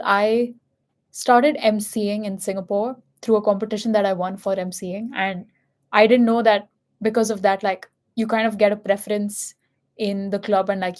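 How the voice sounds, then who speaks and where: A woman's voice: medium pitch, darkish tone. A young woman from India with a light Indian accent, talking in an earnest, candid and reflective casual chat. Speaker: host and MC.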